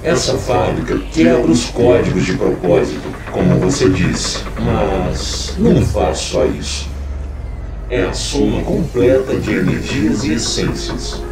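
A man's voice: low voice, sing-song, a little slow, music in the background, exaggerated voice. An actor playing a cold, scheming male villain who wants to become a god, speaking in a menacing, condescending, theatrical way. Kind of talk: audio drama acting. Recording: echoey, distant, loud score.

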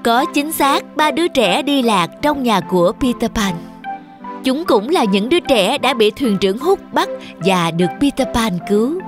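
A woman's voice: fairly high pitch, expressive, moderate pace. A woman narrating a scripted fairy tale for children. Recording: music under the voice. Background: music.

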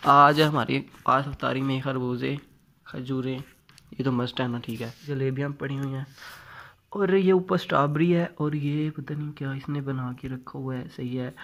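A man's voice: mid-range voice, steady tone, normal speed, bright, forceful tone. A young man from Pakistan vlogging casually, talking into a phone camera held at arm's length and filling his talk with little tags like "yaar". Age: young adult. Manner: chatty, fills talk with "thik hai" and "yaar". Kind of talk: casual vlog chat. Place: home rooms, garden and rooftop.

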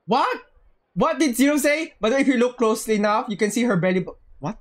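A woman livestreamer chatting casually over a game in an excitable, joking way, often repeating phrases. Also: a little high, brisk, crowd noise. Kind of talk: casual game stream chat. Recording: game music behind.

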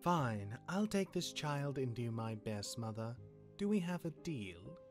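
A man playing a sardonic, awkward immortal demigod older brother, sarcastic and halting, in a fantasy game roleplay. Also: mid-range voice, sing-song, faint music behind. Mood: wry, resigned. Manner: sarcastic, halting when flustered.

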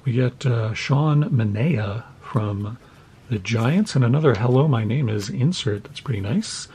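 A man from the United States, slightly hoarse, opening trading cards at his desk at home and narrating each pull with deadpan mock horror. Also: medium pitch, a little slow, a little hiss or hum. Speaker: card-opening channel host. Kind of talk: mock-scared card-opening commentary. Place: home desk with Halloween decor.

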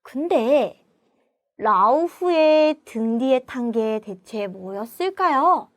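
A woman telling a story as a children's language teacher, with clear, deliberate teaching and repeat-after-me prompts. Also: sits high, expressive, dry recording. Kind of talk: animated storytelling lesson for young children.

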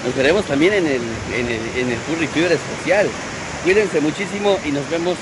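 Young man, Mexican accent, casual vlog chat, handheld phone outdoors, very loud wind noise. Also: a little high, fairly quick. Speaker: vlogger. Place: outdoors on an open windy beach. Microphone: handheld phone at arm's length.

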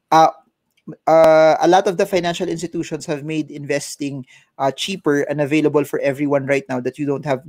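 A man's voice: on the high side, a little mellow, dry recording. A man in his thirties with a Filipino accent, a financial adviser giving advice by video call from a home office, mixing in Tagalog and restarting his words. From Philippines. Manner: mixes in Tagalog, quick restarts and repeated words. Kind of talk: casual advice interview. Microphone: headset mic, video call.

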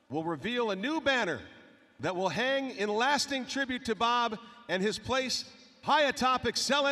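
A man's voice: high voice, bright, forceful tone. A man making a formal, scripted ceremony announcement as an arena announcer, projecting over the public address. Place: large indoor ice hockey arena. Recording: echoing arena PA with clear crowd ambience. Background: arena ambience and noise.

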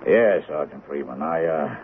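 A man's voice: rumbling low, lively intonation. An actor playing a hesitant, regretful man confiding in police in a 1970s radio drama. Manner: halting, hesitant. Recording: noisy, tinny 1970s radio broadcast.

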